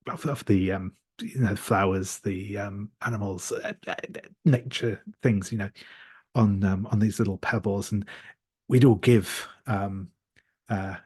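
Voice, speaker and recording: A man telling an improvised tabletop roleplay story, haltingly searching for words. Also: lowish voice, breathy edge, dry recording. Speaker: tabletop game participant.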